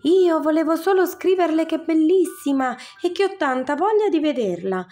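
A woman voicing a proud male lion in a storybook, shouting in frustration, high and loud. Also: lively intonation, moderate pace, soft background music. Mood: frustrated.

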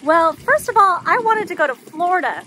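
A woman's voice: extremely high pitch, animated, sharp tone, slight background noise. A woman in her thirties from Canada, chatting upbeat to a phone camera held at arm's length. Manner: chatty and upbeat, quick asides. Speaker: vlogger. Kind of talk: casual vlog chat to camera.

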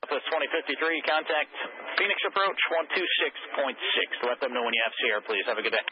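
A man speaking as an air traffic controller over the radio, routine and rapid with fillers. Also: mid-range voice, expressive. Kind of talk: radio exchanges with pilots. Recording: noisy lo-fi radio transmission.

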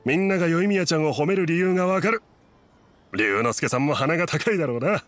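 An actor playing a kindly, easygoing middle-aged merchant in an anime game, speaking politely and expressively. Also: male voice, fairly high pitch, animated, fast, fairly bright tone, no room sound. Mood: grateful, warm. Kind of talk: Japanese anime game voice acting.

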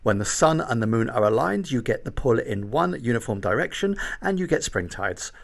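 A man reading a scripted explanation in a lively explainer tone. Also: mid-range voice, normal speed, gravelly. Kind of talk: scripted narration.

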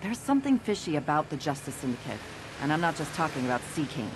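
A brisk, curious news reporter, with a lowish voice. She speaks with a wry edge. A steady noise hums behind her. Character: brisk female newspaper reporter, sharp and curious.

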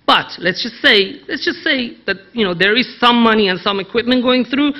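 A man's voice: extremely high pitch, moderate pace, sharp tone, highs cut off. A man with a Persian accent gives an analytical conference talk, emphatic, posing rhetorical questions and repeating key phrases. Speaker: conference speaker, Iran analyst. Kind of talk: conference presentation, persuasive analysis.

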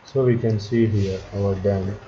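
A man narrating a step-by-step software tutorial as an instructor, speaking in short, halting instructional fragments. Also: on the low side, steady tone, slight background noise. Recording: dull lo-fi audio, background chatter, clicking.

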